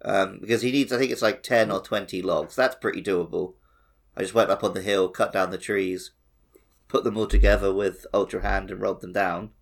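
Man, fairly low voice, noticeable vocal fry, casual gaming podcast chat. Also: steady tone, fairly quick. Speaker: podcast co-host.

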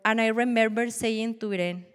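A Colombian-accented woman in her forties, a native Spanish speaker, gives an earnest, measured testimony in church and stumbles now and then. Her voice sits fairly high. It rings with a long reverb, as if from a large hall. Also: steady tone, smooth. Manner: earnest, measured, with small restarts. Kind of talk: prepared personal testimony.

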